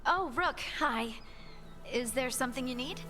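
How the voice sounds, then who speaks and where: High-voiced, gentle fantasy game companion. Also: female voice, unhurried, music in the background. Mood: friendly, hesitant.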